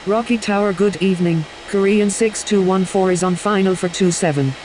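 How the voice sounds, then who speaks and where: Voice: medium pitch, moderate pace, darkish tone, lightly acted, low-quality recording. Someone acting airline pilot with faint radio hiss. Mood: businesslike. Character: businesslike airline pilot in flight game. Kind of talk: scripted radio phraseology.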